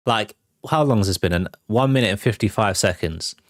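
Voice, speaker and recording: A man's voice: fairly low pitch, fairly quick, dry recording, studio quality. A British man hosting a movie trailer show, giving casual reaction commentary.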